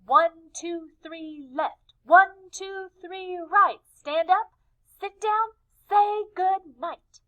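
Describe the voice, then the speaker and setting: Storybook penguin chorus, a woman doing it: prim, tidy, high and chirpy. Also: drawn-out words, pushed and bright, heavily acted. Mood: chanting. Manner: neat sing-song chanting. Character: prim, proper penguin team chanting together. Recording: slightly muffled lo-fi recording.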